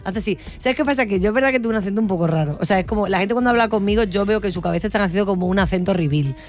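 Spanish girl around 30, mixed southern accent, talks fast n funny, very expressive, bit of music behind. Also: mid-range voice. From Mérida, Extremadura, Spain. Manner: animated, expressive storytelling with acted-out asides. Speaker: podcast guest, comedian and scriptwriter. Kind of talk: casual comic chat.

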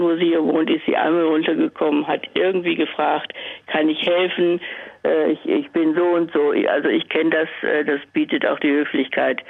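Gravelly woman on a phone line. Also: deep voice. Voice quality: gravelly, husky. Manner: indignant, emphatic, rhetorical questions. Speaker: radio phone-in caller. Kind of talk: aggrieved personal account. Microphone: telephone.

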